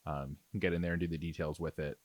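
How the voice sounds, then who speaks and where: A man's voice: a bass voice, level tone, racing, no echo, clean studio sound. A man giving a casual, explanatory tutorial, talking conversationally as if thinking aloud. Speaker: miniature painting tutorial host. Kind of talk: casual, explanatory tutorial narration over painting.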